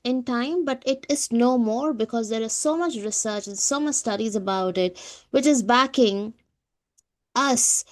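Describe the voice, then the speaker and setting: A woman's voice: fairly high pitch, measured pace. A woman co-hosting a conversational spiritual talk, explaining things and often checking in with a questioning "right?". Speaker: podcast co-host.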